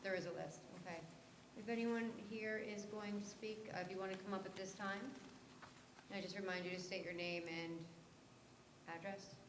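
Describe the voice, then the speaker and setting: A woman chairing a public board meeting, moving briskly through motions and votes in a procedural, slightly informal way. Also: medium pitch, fairly even intonation. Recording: roomy meeting room with background noise.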